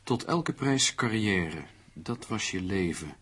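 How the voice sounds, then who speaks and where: A man's voice: on the low side, expressive, takes it slowly, a bit bright, husky, exaggerated voice. An actor in a radio play playing a worried, sardonic man with an emphatic, condescending way of talking. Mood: wry, pondering. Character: worried, sardonic man lecturing an amateur sleuth.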